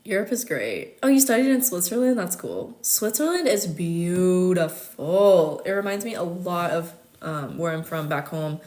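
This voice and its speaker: A woman's voice: fairly low pitch, expressive, a little slow, hardly any pauses, a little mellow. A young woman from the United States chatting casually and answering viewer comments, loose and talkative with fillers and laughs. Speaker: astrology content creator.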